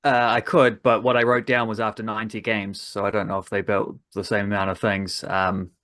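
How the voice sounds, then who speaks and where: A man's voice: fairly low pitch, little pitch movement, fairly quick, fairly bright tone, no room sound. A man co-hosting a sports podcast, talking in a dry, wry, slightly hesitant way. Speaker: podcast co-host. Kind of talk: casual sports podcast chat.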